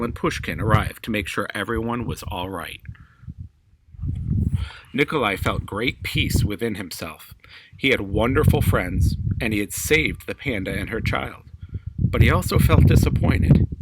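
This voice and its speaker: A man's voice: on the low side, noisy background. A man reads a children's story aloud as a storyteller, in narrated prose.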